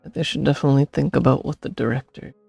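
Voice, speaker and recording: A man with a husky voice narrating and commenting over a video game as a streamer. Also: medium pitch, steady tone, quick pace, darkish tone, dry recording. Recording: faint game music behind.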